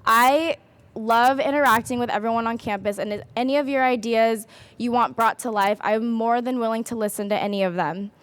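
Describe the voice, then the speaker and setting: A woman's voice: fairly high pitch, brisk, clean tone. A young woman, a student candidate, gives a chatty, upbeat campaign speech. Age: young adult.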